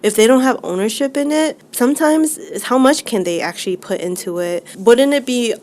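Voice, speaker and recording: A woman's voice: a little high, moderate pace, darkish tone. A woman, speaking conversationally as an interview guest. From United States, raised by immigrant parents from Laos.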